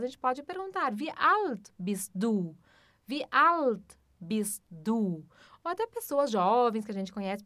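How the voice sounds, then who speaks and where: A woman with a Brazilian Portuguese accent teaching a German lesson. She explains patiently, often adds tag questions and slows down for the German examples. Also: on the high side, fairly bright tone, no room sound. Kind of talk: language lesson.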